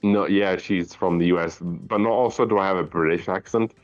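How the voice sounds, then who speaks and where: A man's voice: sits low, a little fast, no room sound, dull audio, faint music behind. A Welsh man in his thirties with a Welsh accent, chatting casually about whiskey, a bit mumbly. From Wales. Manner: relaxed, chatty, slightly mumbly. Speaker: guest whiskey taster. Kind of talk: casual chat.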